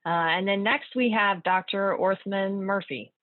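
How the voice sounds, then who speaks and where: A woman's voice: medium pitch, steady tone, a little slow, no room sound. A woman neurologist moderating a panel interview, friendly and encouraging, with some fillers. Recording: tinny phone-like line, dry.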